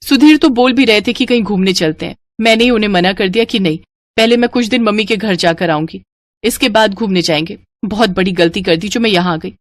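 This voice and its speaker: A woman voicing aggrieved young married women in an animated Hindi family story, with an emphatic, complaining manner. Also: medium pitch, brisk, dry recording, natural voice. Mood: hurt, sulky.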